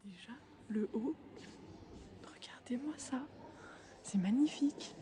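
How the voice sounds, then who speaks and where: A young woman speaking softly and often whispering while tidying shelves in a supermarket aisle, with frequent hesitations. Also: fairly high pitch, lively intonation, slow, background noise. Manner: soft-spoken, drifting into whispers, many hesitations. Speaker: ASMR creator. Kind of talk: soft-spoken ASMR while tidying shelves. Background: faint store ambience.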